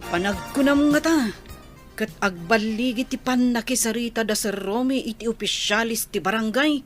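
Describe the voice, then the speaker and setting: A woman's voice: fairly high pitch, moderate pace, soft background music, close to an everyday voice. An actress with a northern Philippine accent playing a concerned, anxious village woman in a radio drama, with a plaintive manner. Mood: worried.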